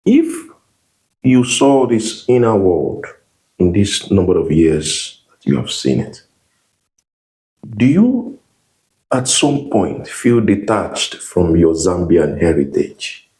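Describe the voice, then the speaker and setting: A man hosting an interview, asking curious questions and offering quick affirmations. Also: deep voice, sing-song, dark tone, poor audio. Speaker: interview host. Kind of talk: casual interview chat.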